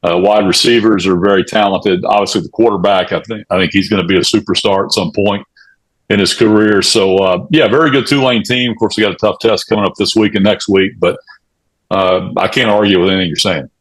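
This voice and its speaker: Low, husky man analyzing sports. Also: fairly even intonation, brisk, fairly bright tone, poor audio. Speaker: sports podcast panelist.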